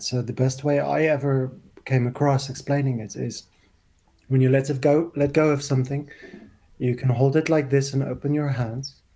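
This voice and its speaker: Man, interview host, gravelly, mellow tone, emphatic, video call. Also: mid-range voice. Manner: emphatic, gestures with his hands. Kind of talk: conversational interview. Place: small room, plain wall. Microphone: video call, wired earphones.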